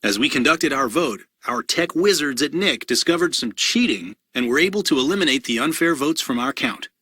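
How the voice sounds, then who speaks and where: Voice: mid-range voice, animated, fairly quick, pushed and bright, breathy edge, dry recording, studio quality. An announcer reading a scripted notice in an earnest, reassuring way.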